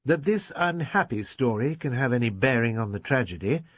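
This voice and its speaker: A man reading aloud and voicing an elderly rector who is gentle, fussy, slow and measured. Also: mid-range voice, animated, no echo, pitched above the performer's own voice, natural voice. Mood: grave.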